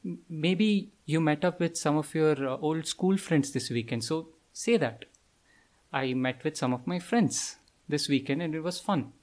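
A man's voice: on the high side, a little slow, a little mellow. A man co-hosting a conversational podcast who reasons his points out aloud with small hesitations. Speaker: podcast co-host.

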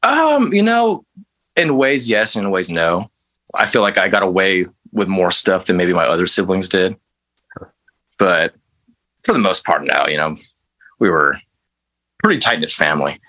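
Laid-back deep-voiced Texan man. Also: sing-song, a little slow, pauses often, pushed and bright. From West Texas, USA. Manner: laid-back, loose storytelling with fillers and mild swearing. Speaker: interview guest, band musician. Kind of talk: casual interview.

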